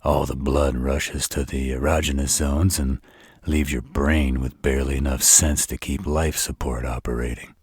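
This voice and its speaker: A man playing an arrogant, flirtatious vampire in a roleplay audio drama, with a husky voice and a smug, taunting delivery. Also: flat delivery, no room sound, full character voice. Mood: wry, reflective. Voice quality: very deep, husky, rough. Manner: smug, taunting, slow drawl. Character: arrogant, teasing, flirtatious adult male vampire. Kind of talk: roleplay audio drama monologue addressed to the listener.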